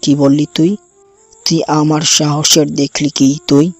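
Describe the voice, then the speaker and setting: A man's voice: on the high side, level tone, measured pace, no room sound, soft background music. A man playing a possessive, threatening young campus bully in an audio drama, speaking with commanding contempt. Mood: scornful, aggressive. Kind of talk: audio story acting.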